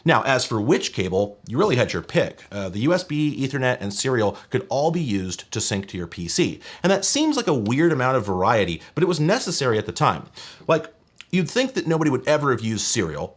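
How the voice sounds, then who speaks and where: A man with a mid-pitched voice hosts a tech explainer. He talks casually and conversationally, with a wry, nerdy way of going into technical detail. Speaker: host of a retro tech video channel. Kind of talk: conversational explainer narration to viewers.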